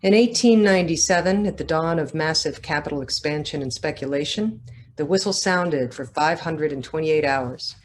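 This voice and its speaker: Woman writer at a book event, lowish voice, presenting her own work. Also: darkish tone, slight background noise. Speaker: author. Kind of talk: casual talk, then literary reading aloud.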